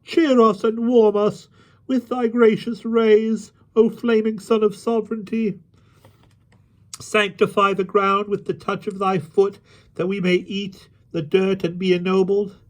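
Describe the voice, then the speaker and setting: A man's voice: high voice, little pitch movement, measured pace, voice pushed up. A man voicing rowdy, mocking vagabonds in a storybook, crying out with exaggerated mock reverence. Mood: mock pleading. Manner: shrieking, mock-reverent exclamations. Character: mocking rowdy vagabonds hailing a fake king.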